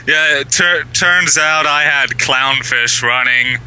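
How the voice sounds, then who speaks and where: A male caller from southwestern Ontario, Canada, reached over a tinny online call line. His voice is bright and clear, and he speaks slowly and haltingly, full of ums and uhs. His pitch sits a little above average.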